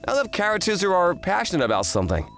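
A woman voicing an earnest, kind teenage boy, an excitable manga fan, in an anime-style romantic comedy dub. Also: lowish voice, quick pace, soft background music. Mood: friendly, eager. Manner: earnest, exclamatory.

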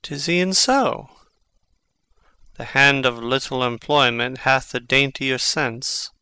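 A man's voice: mid-range voice, takes it slowly, sharp tone, smooth, no echo, heavily acted. A man playing a brooding, sardonic young prince in a Shakespeare audiobook, musing in a wry, theatrical way. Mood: wry, indignant. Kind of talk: dramatic audiobook reading of Shakespeare.

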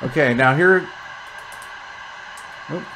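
A man's voice: medium pitch, takes it slowly, pushed and bright, slight background noise, low-quality recording. A man talking casually through a hands-on product demonstration, explaining features step by step as a reviewer.

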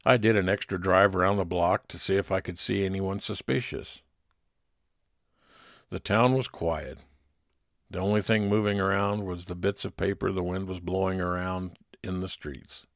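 A man's voice: sits low, steady tone, normal speed, no room sound, clean studio sound. A man narrating a scripted first-person private investigator story in old-time radio detective style.

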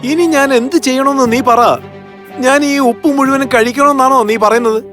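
A man's voice: very high-pitched, fairly even intonation, normal speed, a bit bright, faint music behind. A man playing a weary, irritable, grumbling husband in a fairy-tale cartoon, with an exasperated, complaining manner. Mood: irritated.

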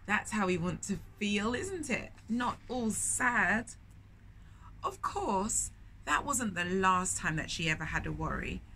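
A woman in her thirties reading a picture book aloud to young children, with expressive drawn-out emphasis and questions put to the listener. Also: mid-range voice, slight background noise. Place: indoors in a bookshop, in front of shelves.

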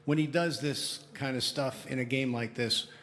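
A man, a sports reporter, asks a long, measured question at a press conference. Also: medium pitch, fairly even intonation, a bit bright. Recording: reverberant press room.

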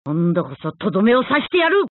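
A woman's voice: high voice, wildly swooping pitch, slow pace, dry recording, exaggerated voice. A woman voicing an earnest, fierce young male martial-arts hero in an anime fighting game, with short battle cries and exclamations. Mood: determined, combative. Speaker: video game voice actor. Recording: phone-like, narrow-band game voice clips.

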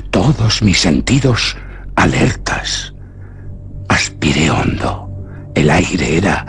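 Anguished feverish wanderer, Castilian Spanish accent, slow halting theatrical delivery, wide swings of pitch, horror radio drama. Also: male voice, breathy edge, full character voice. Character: anguished, feverish lost man narrating his own haunting. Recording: noisy radio drama recording.

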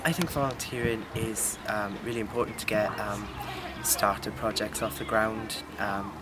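A man, talking slowly and hesitantly, with many fillers, about volunteering in an interview. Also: on the low side. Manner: slow, flat, hesitant with many ums. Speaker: project volunteer. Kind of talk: documentary interview. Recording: loud ambient noise.

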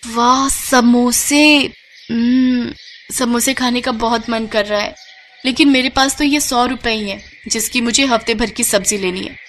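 A woman's voice: fairly high pitch, fairly even intonation, a little slow, fairly bright tone, a little hiss or hum. A woman voicing a poor, dutiful young village bride, earnest and polite, in a cartoon moral story. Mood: wistful, dutiful.

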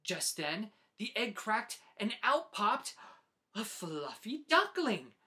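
A man in his forties narrating a children's storybook as host, with deliberate, dramatic phrasing. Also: high-pitched, big pitch swings, bright, forceful tone. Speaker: host reading picture books aloud.

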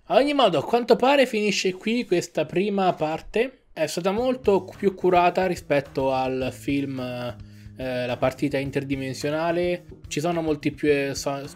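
Young man reviewing casually over faint music. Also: fairly high pitch. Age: young adult. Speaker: reaction video host. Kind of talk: casual spoken commentary. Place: small indoor room at home with green screen.

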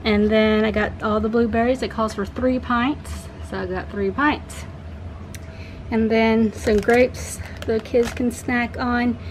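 Unhurried young woman chatting, noisy home recording. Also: fairly high pitch, fairly even intonation. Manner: chatty, rambling, self-correcting. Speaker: vlogger, parent showing a grocery haul. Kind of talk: casual unscripted product show-and-tell. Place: indoors in a home kitchen.

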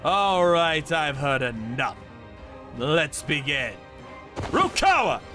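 A man acts out a cold, vengeful torturer narrating a manga story. His voice is fairly high and swings dramatically, with menace in the delivery and music clearly behind it. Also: big pitch swings, slow pace. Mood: menacing. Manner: theatrical, menacing. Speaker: narrator and lead voice actor.

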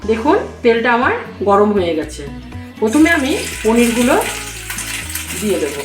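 A woman hosting a cooking channel, giving recipe instructions in a measured, step-by-step way in short phrases. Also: a little high, very animated. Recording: roomy echo, music behind.

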